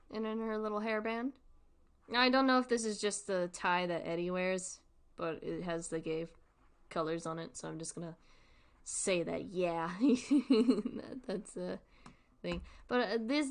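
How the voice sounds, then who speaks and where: A woman streamer giving casual livestream commentary in an informal, rambling, giggly way. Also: mid-range voice.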